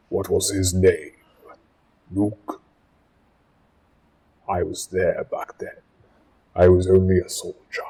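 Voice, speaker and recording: A man's voice: low voice, darkish tone, husky, full character voice, low-quality recording. A man playing a vengeful, boastful alien military commander in an audio drama, speaking with pompous menace. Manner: slow, menacing, pompous delivery.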